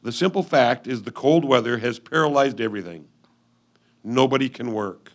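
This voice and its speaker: A man playing a lonely 1850s frontier husband, reading his letter home in a measured, earnest reader's theatre style with dramatic pauses. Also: mid-range voice, close to an everyday voice. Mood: weary, frustrated.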